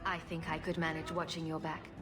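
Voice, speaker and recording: A woman's voice: low-pitched, level tone, fairly quick, close to an everyday voice. An actress playing a wry, sarcastic young female rogue hero in a fantasy video game. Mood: curious. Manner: wry, dryly humorous. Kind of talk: video game character dialogue. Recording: long reverb, music behind.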